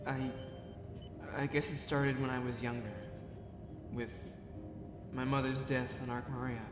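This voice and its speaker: A man's voice: medium pitch, level tone, lightly acted. A young man playing an earnest, awkward but determined cadet in a sci-fi drama, speaking a little hesitantly. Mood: somber, earnest. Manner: hesitant, halting. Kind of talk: fan sci-fi drama acting. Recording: echoey reverb with music underneath.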